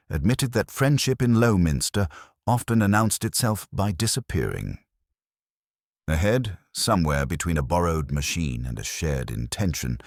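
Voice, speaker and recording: Deep voiced guy narrating a wry mystery story, measured but lots of up and down, very dry close sound. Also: dry recording. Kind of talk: scripted literary mystery narration.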